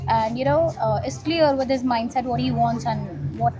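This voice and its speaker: Very high-voiced young Indian woman. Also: moderate pace, dark tone, faint music behind. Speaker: street interviewee. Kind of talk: casual chat.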